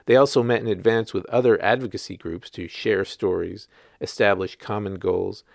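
A man's voice: on the low side, vocal fry, darkish tone, no echo, clean studio sound. A man working as a journalist, reading his written article aloud as scripted narration.

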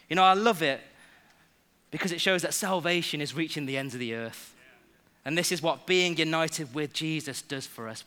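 A young man from England, preaching a sermon to his church in a lively way and joking with the congregation. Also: a little high.

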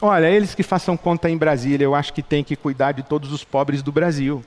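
A man's voice: on the high side, steady tone, brisk. A middle-aged Brazilian man preaching a sermon with passion, building points through rhetorical repetition. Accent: Brazilian Portuguese. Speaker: pastor. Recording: long hall reverb.

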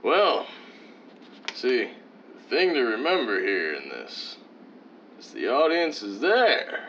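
Vain radio leading man, male actor: pompous, jovial, condescending, very animated pitch, old-time radio comedy, slightly noisy dull recording. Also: slow, exaggerated voice. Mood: avuncular. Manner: pompous, theatrical, swooping emphasis. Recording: dull lo-fi sound, faint noise.

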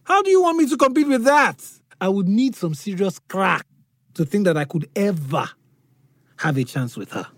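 An actor with a Nigerian accent playing a modest, self-doubting young office worker in an audio drama. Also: male voice, high voice, very animated, normal speed, pauses often, a bit bright, no echo, studio quality, exaggerated voice. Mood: resigned.

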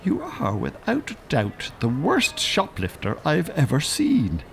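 An actor playing an older retired teacher in a radio drama, with precise formal wording and dry sarcasm. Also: male voice, on the high side, wildly swooping pitch, unhurried, vocal fry, a little hiss or hum, exaggerated voice. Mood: scornful. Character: prim, sardonic retired teacher, older man.

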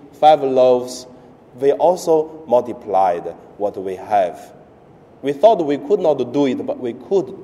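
Slow, mellow-voiced priest with Chinese accent. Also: male voice, medium pitch, long reverb tail. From mainland China. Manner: halting, broken English with pauses and fillers. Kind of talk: Sunday homily.

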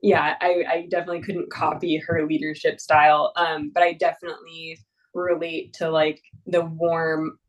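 A woman's voice: low-pitched, little pitch movement, normal speed, recorded from a distance, low-quality recording. A young woman, a mountain guide, talking casually in an interview, laughing often and full of fillers and restarts.

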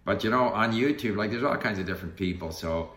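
Older man with a bright, echoey voice. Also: fairly low pitch, fast talker. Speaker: language learner and YouTuber. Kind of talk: casual conversation.

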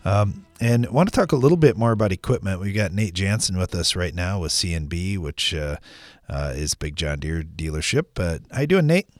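A man's voice: low-pitched, lively intonation, fairly quick, darkish tone, dry recording, clean studio sound. A man hosting a farm radio interview, asking friendly, joking questions. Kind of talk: casual interview.

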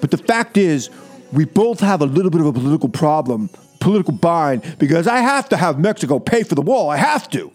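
A man playing a bombastic, boastful American president in a comedic reading, halting and repeating himself. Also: a little high, big pitch swings, soft background music. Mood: boastful, insistent. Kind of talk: comedic dramatic reading over music.

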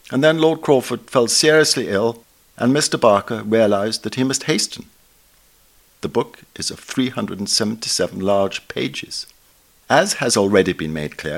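A man in his seventies with an upper-class English accent, reading a scripted book review in a formal, emphatic, erudite manner. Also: on the low side.

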